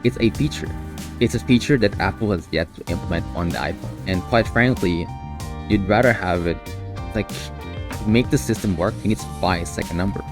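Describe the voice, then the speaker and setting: A man talking casually on a live stream as a developer, in a halting way, often repeating and restarting his phrases. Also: low voice, over music. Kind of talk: casual live stream talk about a coding project.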